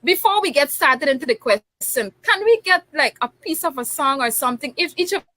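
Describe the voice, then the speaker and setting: Chatty lady hosting a panel, high bright voice, laughs a lot. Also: animated, a little fast, slight background noise. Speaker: host. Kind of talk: talk show hosting with prayer.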